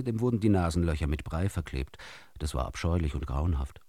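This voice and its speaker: A man narrating absurd short tales for a radio drama in a theatrical, expressive way. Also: low voice, no echo, studio quality. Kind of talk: scripted radio storytelling.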